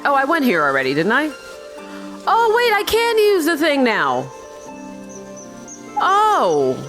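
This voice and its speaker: A woman's voice: high-pitched, wildly swooping pitch, slow, a bit bright. A woman hosting a gaming video with casual, chatty commentary and joking, sarcastic asides. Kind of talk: casual let's-play commentary. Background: game music faintly behind the voice.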